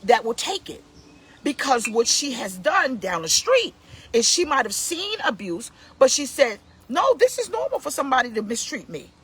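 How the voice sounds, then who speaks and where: A woman's voice: high voice, big pitch swings, normal speed, a bit bright, breathy edge. A woman in her fifties hosting a casual motivational talk, emphatic and preachy, repeating her key points. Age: 50s. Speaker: host, pastor.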